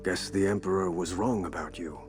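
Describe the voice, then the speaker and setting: Actor as world-weary male samurai, low voice, wry, understated, video game drama, music behind. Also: steady tone, fairly quick, natural voice. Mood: wry, sombre. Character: world-weary male samurai warrior recounting his campaign.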